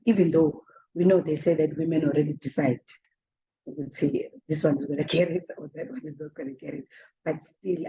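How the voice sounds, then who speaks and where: A South African woman in her forties answers an interviewer in a chatty, loose way, laughing between thoughts. Her voice sits low and mellow. The recording is a little dull, with faint noise behind her. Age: 40s. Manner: chatty, laughs often, mixes in Zulu words.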